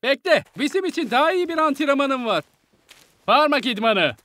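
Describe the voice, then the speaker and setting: An actor playing an eager, chatty young football sidekick in a cartoon dub. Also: male voice, very high-pitched, big pitch swings, normal speed, bright, forceful tone, a little hiss or hum, heavily acted. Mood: eager, friendly.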